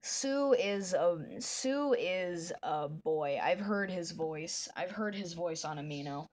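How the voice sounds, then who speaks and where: A woman streaming live, chatting with viewers in a soft, meandering way while she draws, with a voice that is deep for a woman. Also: expressive, takes it slowly. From northern United States. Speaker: livestreamer. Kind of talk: casual chat while drawing on a livestream.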